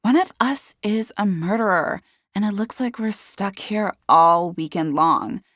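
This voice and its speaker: A woman's voice: medium pitch, a little slow, fairly bright tone, no echo, clean studio sound. A woman voicing a sardonic, cynical woman in an audiobook mystery, mocking and unbothered. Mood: grimly cynical.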